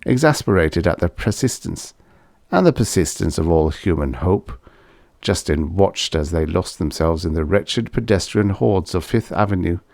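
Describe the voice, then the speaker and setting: A man's voice: low voice, animated, normal speed, creaky, darkish tone, no echo, clean studio sound. A man reading vintage science fiction aloud as an audiobook narrator, in a scripted storytelling style.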